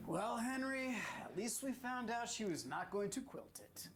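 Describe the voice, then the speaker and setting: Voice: medium pitch, sing-song, measured pace. An actor playing a condescending, self-assured male county attorney in a stage play. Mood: patronising. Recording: noisy amateur recording.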